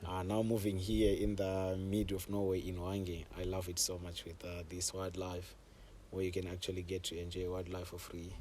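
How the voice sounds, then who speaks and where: Deep, flat-voiced man telling stories. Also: moderate pace, few pauses, a little hiss or hum. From Zimbabwe. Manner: relaxed storytelling with frequent fillers. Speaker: safari camp host. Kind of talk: conversational interview. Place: outdoors on a safari camp deck.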